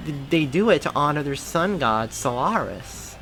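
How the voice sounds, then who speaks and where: A man's voice: moderate pace, faint music behind, poor audio. A man voicing an eager young woman reporter. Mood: chatty. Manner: raised, excited pitch. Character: young adult woman reporter, lively and affectionate.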